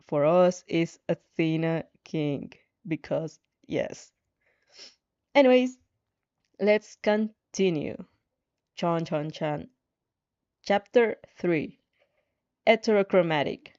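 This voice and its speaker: A woman casually chatting on a livestream as she reads fan fiction, halting and repeating herself with little giggles. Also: fairly low pitch, a little mellow. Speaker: streamer reading fan fiction aloud. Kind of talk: casual livestream chat and read-aloud. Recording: muffled, roomy home recording with music.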